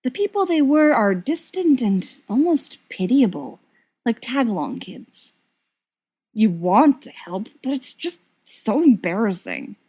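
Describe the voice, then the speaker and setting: Lively, unhurried woman reading fiction aloud. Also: fairly high pitch, breathy edge. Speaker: volunteer audiobook reader. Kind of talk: expressive fiction narration with character voices.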